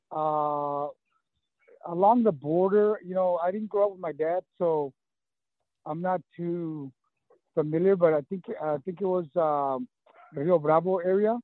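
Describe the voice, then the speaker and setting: South Texas man, halting, tinny line. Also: high voice, dry recording. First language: Spanish. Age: 50s. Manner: halting, many restarts and fillers. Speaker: guest, running coach. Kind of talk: casual interview.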